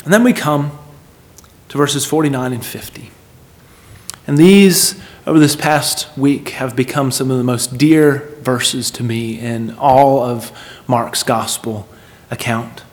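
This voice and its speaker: A man's voice: medium pitch, fairly even intonation, slow. A man preaching a sermon in an earnest, emphatic manner, repeating phrases for weight and putting questions to his listeners.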